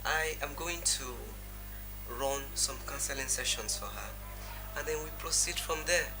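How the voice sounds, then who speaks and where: A formal, matter-of-fact doctor, played by a male actor in a film drama. His voice is a little high and his manner measured, with clear music playing behind him. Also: animated, lightly acted, rough recording. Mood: grave.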